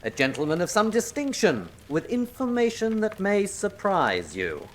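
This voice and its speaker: A man's voice: sits high, wildly swooping pitch, moderate pace, slight background noise. An actor playing a callous, curt colonel, a villain's henchman, in a radio drama. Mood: haughty.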